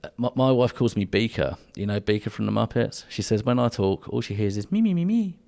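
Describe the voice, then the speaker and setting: A man with an English accent co-hosting a podcast indoors by a fire, talking in a relaxed, soft-spoken, rambling way. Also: lowish voice, fairly quick, creaky. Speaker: podcast co-host. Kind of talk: casual chat.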